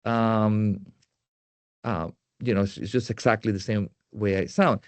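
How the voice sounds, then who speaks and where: A man with a Puerto Rican accent, a native Spanish speaker, giving a tech presentation in a halting way with many fillers and restarts. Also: on the low side, fairly even intonation, no room sound. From Puerto Rico.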